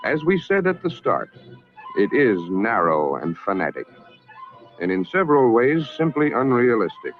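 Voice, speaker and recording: An American man narrating a scripted 1960s public information film in a formal, measured, emphatic manner. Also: mid-range voice, huge pitch swings. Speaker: documentary narrator. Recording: narrow-band vintage film soundtrack, music behind.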